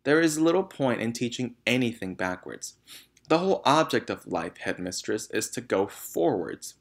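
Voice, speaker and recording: A man voicing a gentle but firm female schoolteacher from a storybook, polite, measured and earnest. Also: mid-range voice, sing-song, creaky, voice pushed up. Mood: firm protest.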